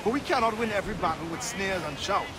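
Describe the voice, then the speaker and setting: An actor playing a dignified, practical pirate quartermaster in a video game, formal wording and dry wit. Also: male voice, sits high, expressive, fast talker, heavy background noise. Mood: dry, earnest. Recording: loud music and sea sounds behind. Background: music, waves.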